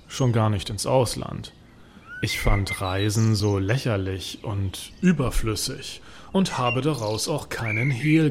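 Guy acting smug opinionated man doing a satirical radio play monologue, medium pitch, noisy with birds chirping. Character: opinionated, smug male former travel-hater telling his story. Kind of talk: first-person monologue in a satirical audio drama.

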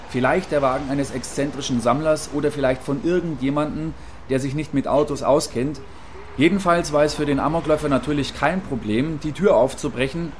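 A man's voice: mid-range voice, natural voice. Man acting as field reporter over background noise. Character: eager, apologetic male field reporter giving eyewitness account. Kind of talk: satirical radio play as live news report.

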